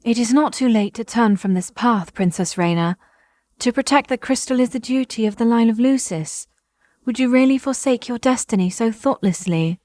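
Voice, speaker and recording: Woman as a soft, solemn regal noblewoman. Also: fairly high pitch, no room sound, lightly acted. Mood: grave, reproachful. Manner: formal, measured, grave. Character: solemn, regal young noblewoman, gravely reproachful. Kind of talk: formal audio drama acting.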